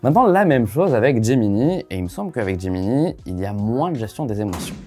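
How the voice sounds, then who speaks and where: A man's voice: mid-range voice, big pitch swings, fast talker, darkish tone, faint music behind. A man hosting a casual tech video, testing voice assistants in a playful way. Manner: playful, exaggerated emotions when testing. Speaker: tech reviewer.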